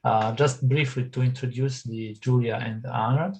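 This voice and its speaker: A man's voice: medium pitch, fairly even intonation, normal speed, vocal fry, a little mellow, slightly rough. Serbian-accented man chairing an online session. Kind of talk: moderating an online academic session.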